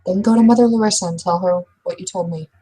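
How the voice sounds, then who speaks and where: Woman playing a mellow, husky, caring friend. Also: lowish voice, fast. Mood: protective, firm. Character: caring female werewolf friend, protective, gently instructing.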